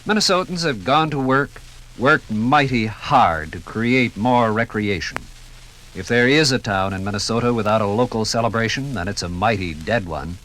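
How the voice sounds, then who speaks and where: A man's voice: lowish voice, expressive, moderate pace, over music, low-quality recording. A man giving theatrical, rhetorical scripted narration as the narrator of a 1950s radio documentary.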